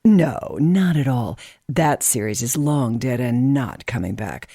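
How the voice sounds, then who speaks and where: A woman's voice: a bass voice, animated, a little slow, warm tone, slightly rough, no room sound, studio quality, exaggerated voice. A woman playing a haughty best-selling author and doctor as a guest in a radio drama. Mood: polite, self-important. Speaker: talk show guest character.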